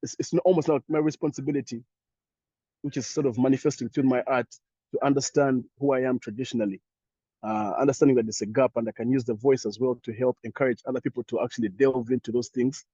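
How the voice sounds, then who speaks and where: A young Ugandan man speaking English with a Ugandan accent, answering thoughtfully as a panelist in an online discussion, with many pauses and restarts. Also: mid-range voice, fairly even intonation, mellow, slightly rough, no echo, highs cut off.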